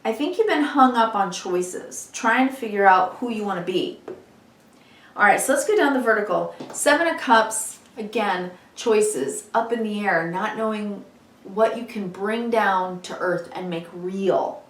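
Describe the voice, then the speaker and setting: Female tarot vlogger, warm and chatty, slightly hoarse, mid-pitched, recorded in an echoey space a few feet from the mic. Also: unhurried, slight background noise. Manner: chatty and encouraging, many "you know"s. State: losing her voice, slightly hoarse. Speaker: tarot reader. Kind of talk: weekly tarot reading to camera. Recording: echoey room, mic at a distance.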